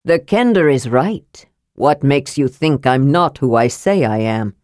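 Woman as a deep-voiced, mild ancient male dwarf. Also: lively intonation, a little slow, darkish tone, no room sound, studio quality. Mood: calm, knowing. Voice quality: strong, deep, youthful.

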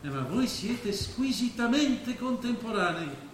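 A man's voice: very high-pitched, big pitch swings, takes it slowly, full character voice. A man acting on stage in an Italian drama, playing a celebrated writer who is urbane, condescending and declamatory. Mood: condescending, curious. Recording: very echoey hall, faint background noise.

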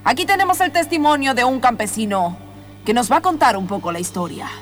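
A woman's voice: a little high, expressive, a little fast, faint music behind, lightly acted. A woman playing a mock-serious documentary presenter, explaining mysteries in improvised comedic radio theatre.

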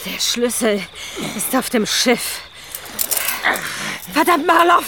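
A woman's voice: fairly high pitch, lively intonation, slow, heavy background noise. An actress playing a fierce, indignant young female assassin in a hard-boiled radio crime drama. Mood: indignant, exasperated. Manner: indignant, sharp-tongued. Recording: loud outdoor sound effects behind.

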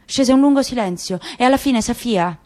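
A woman narrating a literary story in a radio drama reading, even and composed. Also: a little high, brisk.

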